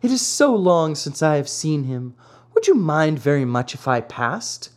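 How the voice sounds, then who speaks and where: Reader putting on a higher, pleading storybook puppet boy, remorseful and lively in tone. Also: unhurried, breathy edge. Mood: sweetly pleading. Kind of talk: storybook reading.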